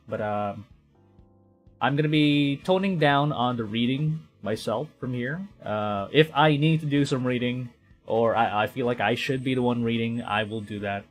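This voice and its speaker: A young man from the Philippines chatting casually while streaming a game, rambling and correcting himself as he goes. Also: medium pitch. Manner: rambling, self-correcting, quietly talking to himself. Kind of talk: casual gameplay commentary.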